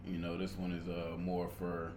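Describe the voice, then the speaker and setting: A man with a low voice talking casually and slangily while showing off his apartment. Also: completely flat delivery, normal speed, noisy background. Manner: laid-back, slangy. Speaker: interviewee showing his home. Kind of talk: casual chat.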